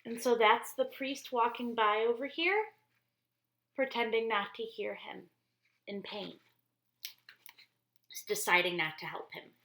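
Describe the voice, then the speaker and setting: A woman reading a children's story aloud expressively, deliberately, explaining things in simple words. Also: fairly high pitch, bright, forceful tone. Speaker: storybook reader for church children. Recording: roomy, echoey indoor sound.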